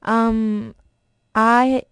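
A woman's voice: fairly high pitch, fairly even intonation, extremely slow, smooth, no room sound, clean studio sound. A young woman from Korea, a college student with a strong Korean accent whose first language is Korean, reading a personal introduction carefully. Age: young adult.

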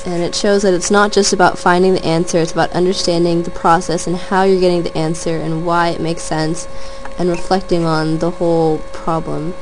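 A young woman in her twenties talks about herself in a relaxed, earnest way, one thought running into the next. Her voice sits a little low for a woman. A faint hum stays underneath the whole recording. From Southern California. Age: 20s. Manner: earnest, rambling, chains clauses with "and". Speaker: college student. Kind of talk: casual personal monologue.

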